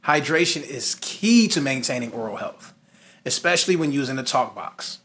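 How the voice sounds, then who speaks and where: A man with a bright, crisp-edged voice at a middle pitch teaches like a music instructor. He works through prepared points in a conversational way, dropping in casual asides and leaning on key phrases for emphasis. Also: expressive, moderate pace.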